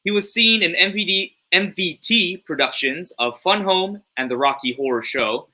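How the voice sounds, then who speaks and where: Upbeat guy hosting a theater stream, really bright voice, kinda high. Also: normal speed. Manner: upbeat, friendly, lively interjections. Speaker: host. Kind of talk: casual interview chat.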